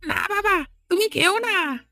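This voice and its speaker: Woman voicing a very high, pleading cartoon boy. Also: animated, takes it slowly, sharp tone, dry recording, clean studio sound, heavily acted. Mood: evasive, anxious. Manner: pleading, anxious, childlike. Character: young village son, pleading and craving biryani. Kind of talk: horror cartoon voice acting.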